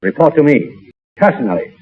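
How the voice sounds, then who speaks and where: An actor playing a pompous, haughty Foreign Legion adjutant in a 1930s radio drama, stiffly formal and precise. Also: male voice, a little high, very animated, normal speed, husky. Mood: stern, offended. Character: pompous, jealous male Legion adjutant pulling rank. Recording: dull vintage 1930s radio broadcast.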